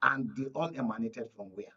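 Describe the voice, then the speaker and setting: A Nigerian man with a husky, slightly rough voice argues as a political panel guest. He is emphatic and keeps putting rhetorical questions to his listeners. The recording is dull and lo-fi. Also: medium pitch, fairly quick, pushed and bright. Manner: emphatic, rhetorical questions with repeated check-ins. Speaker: studio guest, political analyst.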